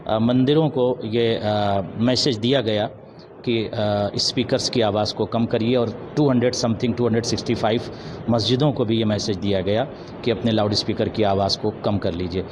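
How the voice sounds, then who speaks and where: Man speaking over loud street noise. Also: lowish voice, fairly even intonation. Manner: measured, formal Urdu-laced diction with pauses and some English words. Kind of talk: earnest interview answer in a preaching manner. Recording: noisy outdoor urban street recording with traffic. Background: loud street ambience and vehicles.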